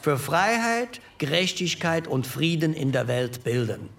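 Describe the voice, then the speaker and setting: A man's voice: a little high, very animated, a little slow, vocal fry, a bit bright, exaggerated voice. An actor playing an earnest male postwar delegate in a radio play, proposing wording in a formal, careful way.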